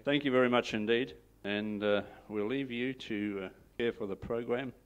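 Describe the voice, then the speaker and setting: A man hosting at a church, welcoming a guest pastor in a hesitant way with many pauses and fillers. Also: mid-range voice, clean tone, highs cut off. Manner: hesitant, full of uhs. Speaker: church host. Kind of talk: welcome announcement.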